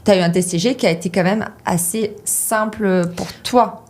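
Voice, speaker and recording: A woman hosting an interview, prompting her guest with quick, chatty questions and reactions. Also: medium pitch, a little mellow. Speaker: interview host. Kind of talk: casual interview.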